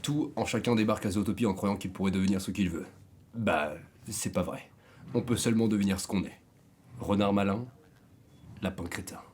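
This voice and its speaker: A man's voice: fairly low pitch, a little fast, darkish tone, voice pushed down, lightly acted. Cartoon fox hustler, male, man performing: cynical, condescending, slightly noisy recording.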